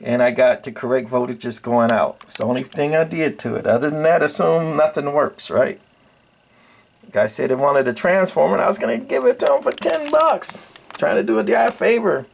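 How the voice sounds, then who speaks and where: A man's voice: on the high side, animated, moderate pace, creaky, a little hiss or hum. An older man giving a casual, unscripted walkthrough as a radio hobbyist, talking in a rambling, colloquial, folksy way. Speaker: hobbyist radio repairer and video presenter.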